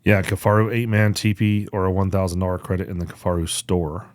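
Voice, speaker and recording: A man with a low, deep voice talks as a podcast co-host. He sounds informal and conversational, and the recording is dry and free of room echo. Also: flat delivery, brisk. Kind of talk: casual podcast chat.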